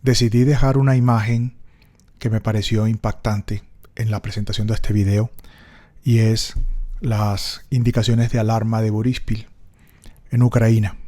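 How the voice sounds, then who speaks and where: A middle-aged Colombian man with a Colombian Spanish accent, telling a personal story in a thoughtful, unhurried reflective monologue. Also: fairly low pitch, level tone, mellow. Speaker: speaker recording a personal reflection.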